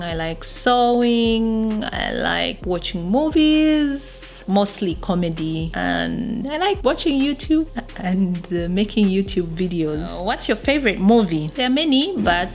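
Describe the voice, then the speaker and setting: A Zambian woman in her forties with a Zambian accent, hosting her own channel and reading get-to-know-me questions aloud before answering each one. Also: medium pitch. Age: 40s. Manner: unhurried, reads questions aloud then answers. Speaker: YouTuber.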